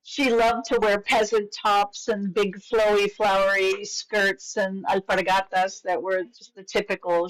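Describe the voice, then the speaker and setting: A woman's voice: mid-range voice, rough recording. A middle-aged woman from Venezuela, a podcast guest telling stories of her upbringing in an easygoing, enthusiastic way, with frequent restarts. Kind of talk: casual conversational storytelling.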